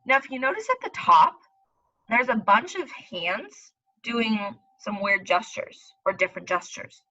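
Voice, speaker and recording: A woman's voice: medium pitch, pushed and bright, dull audio. A woman teacher leading a read-aloud lesson for young children, explaining step by step and speaking directly to them. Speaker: elementary school teacher.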